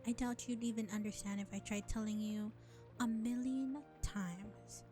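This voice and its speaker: A woman playing a sly, self-serving vampire bar owner in an audio roleplay, speaking in a sardonic, condescending drawl with deliberate pauses. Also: medium pitch, fairly even intonation, dark tone, faint music behind, lightly acted. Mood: weary. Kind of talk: scripted audio roleplay acting addressed to listener.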